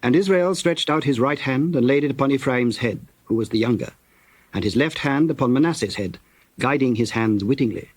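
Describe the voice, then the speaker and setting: A man narrates a dramatized scripture text. His medium-pitched voice is measured and solemn, like formal storytelling. The sound is dry, with no room around it. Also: lively intonation, darkish tone, breathy edge, dry recording.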